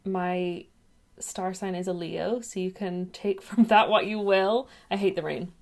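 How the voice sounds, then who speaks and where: A woman's voice: on the low side, fairly even intonation, measured pace. A young woman chats into her webcam at home. She rambles in a loose, friendly vlog style and keeps drifting off topic. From England, raised in Ireland. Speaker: YouTuber. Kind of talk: casual chatty vlog.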